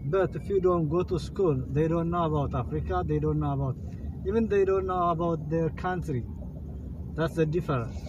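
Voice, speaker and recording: A man from Ethiopia with an Ethiopian accent, a rideshare driver speaking earnestly in casual conversation, pausing often on fillers. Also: fairly high pitch, dull audio. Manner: earnest, halting with many fillers. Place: inside a moving car at night. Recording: road noise from a car.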